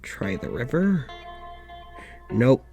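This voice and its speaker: A tired lonely doctor in an audio drama, halting and sighy, music under it. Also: male voice, mid-range voice, big pitch swings, gravelly, dark tone, full character voice. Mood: resigned. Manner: confiding, halting, sighing. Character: lonely, weary young doctor venting to his demon dog.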